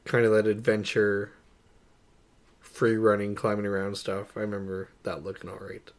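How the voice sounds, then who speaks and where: A man's voice: fairly low pitch, flat delivery, moderate pace. A man co-hosting a podcast, chatting casually and informally about movies. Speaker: podcast co-host. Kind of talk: casual movie review chat.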